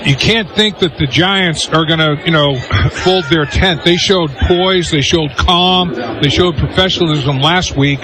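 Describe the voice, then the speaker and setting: A man works as a football color analyst on live radio, talking conversationally and repeating himself for emphasis. His voice is fairly high, over clear background noise. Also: measured pace. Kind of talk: live radio sports commentary. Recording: noisy live broadcast.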